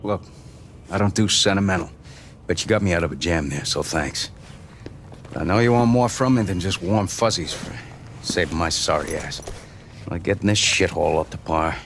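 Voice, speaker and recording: An actor playing a gruff, cynical, grudging tough guy with a gravelly voice in a video game cutscene. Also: low voice, normal speed, fairly bright tone, slight background noise. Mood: grudging, sardonic. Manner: sardonic, gruff.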